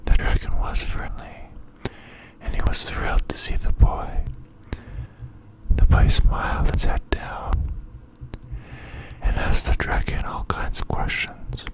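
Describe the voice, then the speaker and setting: A man whispers a bedtime story in ASMR style. His voice is breathy and unvoiced, and he takes it slowly, one hushed phrase at a time. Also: poor audio. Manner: slow, hushed, phrase-by-phrase delivery.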